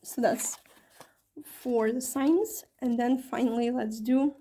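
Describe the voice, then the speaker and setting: A woman's voice: fairly high pitch, steady tone, slow, dark tone. A woman giving a casual tarot reading to her viewers, chatty and full of fillers and self-corrections, her voice a little strained from talking for a long time. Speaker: tarot reader.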